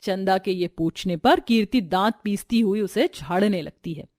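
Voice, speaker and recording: A woman's voice: mid-range voice, normal speed, a little mellow, no echo, clean studio sound. A woman narrating a scripted family story as a storyteller.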